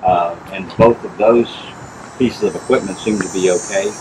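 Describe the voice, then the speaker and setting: A middle-aged man with a deep, low voice talks through pool problems in a steady, explanatory way, pausing with "uh" and "um." He is outdoors in a backyard, picked up by a camera mic a few steps away. Steady outdoor noise sits under his voice. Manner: plain, measured, explanatory, with frequent "uh" and "um". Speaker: pool inspector.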